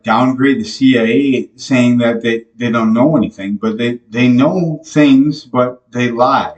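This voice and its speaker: Slow, rambling young man in an echoey room. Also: mid-range voice, lo-fi sound. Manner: slow, rambling, with many fillers and restarts. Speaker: podcast host. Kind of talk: rambling devotional monologue. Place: small bare room indoors. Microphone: camera mic from a few feet away. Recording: echoey room, voice a bit distant from the mic.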